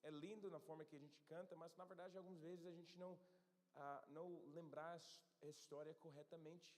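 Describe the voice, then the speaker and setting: Halting male preacher, long church reverb. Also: fairly high pitch, steady tone, darkish tone. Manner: halting, searching for words, self-correcting. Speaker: pastor. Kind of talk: sermon with scripture reading.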